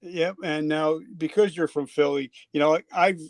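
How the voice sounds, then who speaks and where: Older man with a gravelly voice. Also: a little high, fairly even intonation, moderate pace, no echo. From New Jersey. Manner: casual, rambling, jokey. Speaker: podcast host. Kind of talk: unscripted podcast chat.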